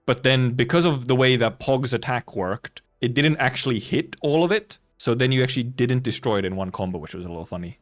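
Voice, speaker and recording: A man's voice: mid-range voice, animated, fairly quick, few pauses. A man doing casual live game commentary as a streamer, rambling and correcting himself as he goes. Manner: rambling, loosely self-correcting, casual swearing.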